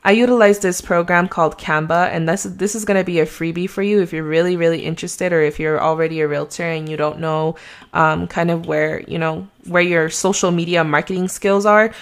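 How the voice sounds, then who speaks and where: A woman's voice: on the low side, steady tone, a little fast, clean tone. A young adult woman with a slight Mexican accent, a real estate agent giving rambling, conversational advice on her solo podcast.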